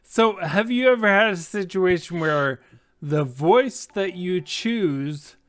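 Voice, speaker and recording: A middle-aged man hosting a casual roundtable chat among game masters, asking questions in a measured way. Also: high voice, lively intonation, a bit bright.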